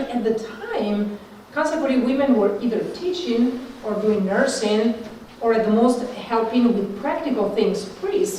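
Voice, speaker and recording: A woman's voice: fairly high pitch, dark tone. A middle-aged woman from Northern Italy with an Italian accent, a religious sister speaking informally and conversationally to a group. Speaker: religious sister giving a talk. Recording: very echoey room, distant mic, faint noise.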